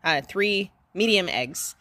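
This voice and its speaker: A woman's voice: mid-range voice, expressive, slow pace, sharp tone, no room sound. A woman hosting a home vlog, talking in an upbeat, chatty way and often giggling, recorded on the phone she holds. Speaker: vlog host. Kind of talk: casual chat. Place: backyard outdoors, then home kitchen. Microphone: phone camera held by her.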